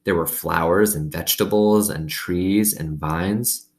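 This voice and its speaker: A man's voice: sits low, measured pace. A man reading a children's story aloud expressively, with casual asides to his listeners. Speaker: reader reading a children's novel aloud to students.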